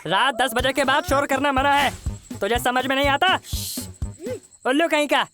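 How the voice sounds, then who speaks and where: A woman's voice: sits high, normal speed, natural voice. A woman voicing a stubborn, sleepy little cartoon boy who whines and exclaims defiantly in a comic dub. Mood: sleepy, annoyed. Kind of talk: comic cartoon dubbing in Hindi. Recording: music bed under the voice.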